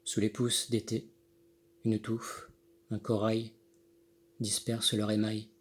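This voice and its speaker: A man reciting his own poem in measured, deliberate phrases with pauses between them. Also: on the low side, monotone. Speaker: poet reading own poem. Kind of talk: poetry recitation. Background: Handel music accompanies the reading.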